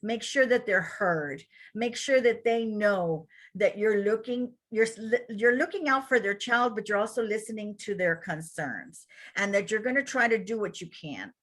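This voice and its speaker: Bright-voiced Cuban-accented woman presenter. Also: mid-range voice, normal speed. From Cuba. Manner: energetic, animated, speaks directly to attendees. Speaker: childcare center director. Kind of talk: webinar presentation.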